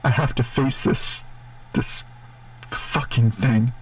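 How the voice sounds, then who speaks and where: A man playing a despairing radio DJ, halting and hesitant. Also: mid-range voice, fairly even intonation, lightly acted, rough recording. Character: haunted male radio DJ alone in a booth.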